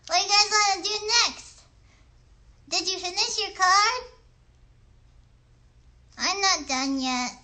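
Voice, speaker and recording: A woman voicing an eager, friendly young girl puppet who chats in a halting, childlike way on a children's livestream show. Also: extremely high pitch, sharp tone, exaggerated voice. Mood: earnest. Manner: chatty, childlike, halting, greets viewers sweetly.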